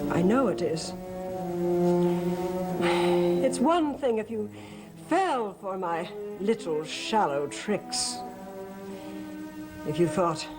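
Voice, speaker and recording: An actress playing a mysterious, guilt-torn woman in a gothic soap opera, speaking in a theatrical, halting, confessional way. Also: mid-range voice.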